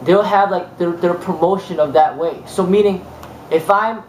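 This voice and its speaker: Martial arts teacher, man, slightly high voice, loose rambling talk, roomy sound. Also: normal speed, a little hiss or hum. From United States. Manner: rambling, many fillers and restarts. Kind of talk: casual monologue.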